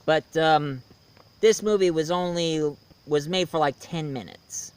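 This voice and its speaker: A man's voice: on the high side, a little slow, smooth. A man giving a casual, rambling film review, speaking emphatically and opinionatedly straight to his viewers. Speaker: reviewer, host.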